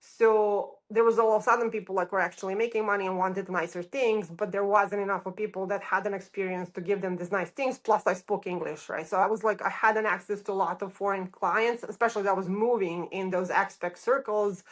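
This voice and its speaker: A woman's voice: medium pitch, fairly even intonation, brisk, hardly any pauses. A middle-aged woman from Serbia with a Serbian accent, telling her story as an interview guest in an informal, chatty way peppered with "like". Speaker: architect, podcast guest.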